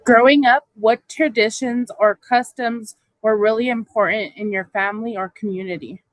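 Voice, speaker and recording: A woman's voice: a little high, normal speed, dry recording, poor audio. A woman student interviews someone for a class assignment, reading her questions in a friendly, slightly hesitant way. Her voice sits in a middle range, and the recording is dry.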